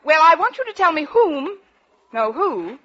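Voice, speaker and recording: Bright-voiced, high-pitched wry schoolteacher. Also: female voice, big pitch swings, unhurried, exaggerated voice. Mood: flustered. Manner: wry wisecracks, flustered under pressure. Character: sharp-witted, underpaid high school English teacher, tired and sardonic. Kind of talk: 1940s radio comedy acting. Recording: noisy, muffled 1940s radio broadcast.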